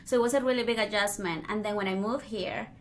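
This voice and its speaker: Expressive woman. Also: medium pitch, fast, a little hiss or hum. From Colombia. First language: Spanish. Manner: expressive, playful storyteller. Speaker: podcast host. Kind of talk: casual interview chat.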